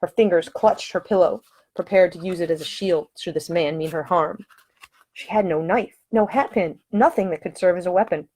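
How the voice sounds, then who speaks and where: A woman reading her own romance novel as scripted audiobook narration, with expressive, dramatic storytelling. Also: fairly low pitch, normal speed.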